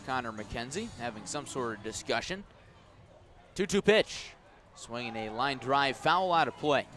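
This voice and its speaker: An American man doing live radio play-by-play of a baseball game, measured between pitches and emphatic on the action. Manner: measured description rising into emphatic calls on the action. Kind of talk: live radio sports commentary. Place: outdoors at a ballpark.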